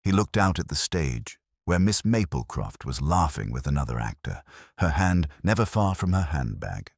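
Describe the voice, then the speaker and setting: A man narrating a scripted mystery story in a measured, wry literary manner. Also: very deep voice, sing-song, husky, no echo, clean studio sound. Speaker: audiobook narrator.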